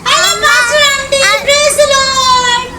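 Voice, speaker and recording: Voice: flat delivery, very slow, full character voice. ఒక పప్పెట్ కళాకారుడు ఆండీ అనే చిలిపి చిన్న పిల్లాడి బొమ్మకు కీచు గొంతుతో మాట్లాడిస్తున్నాడు, పిల్లలతో సరదాగా ఆటపట్టిస్తూ. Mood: cheeky. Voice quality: high squeaky puppet voice. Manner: chatty, teasing, addresses kids and calls everyone brother. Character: cheeky little boy puppet, chatty and playful. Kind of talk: live puppet show for children. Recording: echoey hall from far off, loud crowd and noise.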